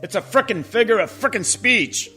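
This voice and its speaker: A neurotic mob boss from a comic radio play, acted by a man. His voice sits high and swoops widely as he whines and rambles in tough-guy slang. He sounds like an exasperated middle-aged father at the end of his rope. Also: lively intonation, moderate pace, bright, forceful tone, soft background music. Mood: indignant.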